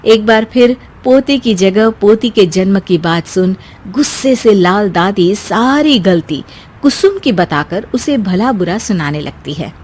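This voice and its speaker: A woman's voice: mid-range voice, lively intonation, a little slow, a little mellow, slightly rough. A woman narrating a scripted family story as a storyteller.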